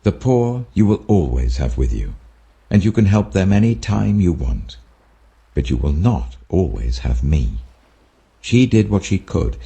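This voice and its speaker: A British man acts out a grave male teacher of great authority in a dramatised scripture reading. The voice is low and gravelly, rising and falling expressively. Each phrase is solemn, deliberate and emphatic. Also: dark tone, exaggerated voice.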